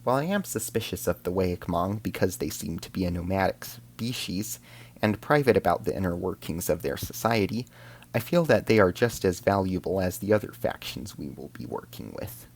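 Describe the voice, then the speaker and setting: A man's voice: low voice, animated, normal speed. A man narrating a scripted science fiction drama in the first person as an alien soldier, in a solemn, formal storytelling manner.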